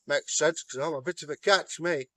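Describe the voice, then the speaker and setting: A man voicing a dim, blustering male frost giant, loud, gruff and boastful. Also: on the high side, moderate pace, dry recording, far from the mic.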